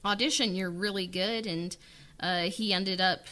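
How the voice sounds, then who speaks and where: A woman's voice: medium pitch, fairly even intonation, unhurried, bright, forceful tone. A woman chatting casually on a podcast as a writer-director, informal and hesitant in the way she talks. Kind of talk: casual interview chat.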